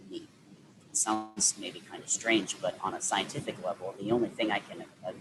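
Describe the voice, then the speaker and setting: A man with a fairly low voice talks through his experiences in a loose, rambling way, full of restarts and fillers. Clear background noise sits under the recording. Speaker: interview guest. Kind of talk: reflective conversational interview.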